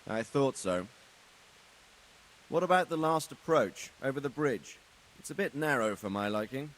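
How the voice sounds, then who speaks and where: Game commander: male actor as medieval lord, deliberate and authoritative, medium-pitched, questioning his men. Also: expressive, a bit bright, a little hiss or hum, close to an everyday voice, low-quality recording. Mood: stern, assessing. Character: commanding, deliberate medieval nobleman leading soldiers. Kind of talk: video game cutscene acting.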